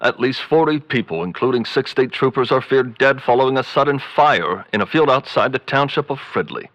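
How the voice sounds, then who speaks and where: A man plays a straight-laced 1930s radio announcer with formal phrasing and a mid-pitched voice. The recording is dry and slightly dull. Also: animated, fairly quick, fairly bright tone, dry recording. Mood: grave. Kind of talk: 1930s radio broadcast acting.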